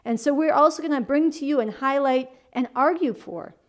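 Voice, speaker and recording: A woman's voice: high voice, fairly quick. A woman hosting a political talk show, introducing segments in an earnest, emphatic manner.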